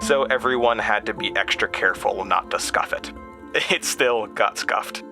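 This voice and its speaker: An actor playing an anxious, haunted young man in an audio drama, speaking in a halting, nervous, stammering confessional monologue. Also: fairly low pitch. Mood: nostalgic, reflective. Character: anxious, haunted young Midwestern man recalling traumatic memories.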